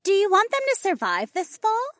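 A man's voice: extremely high pitch, wildly swooping pitch, normal speed, fairly bright tone, no room sound, clean studio sound, voice pushed up, exaggerated voice. A man voicing confident, playful women warriors in a fantasy audiobook. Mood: teasing.

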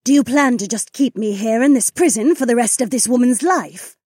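A woman's voice: on the high side, brisk, a little mellow, slightly rough, no room sound, studio quality. A woman playing a diabolical female spirit in an audiobook, mocking and sneering.

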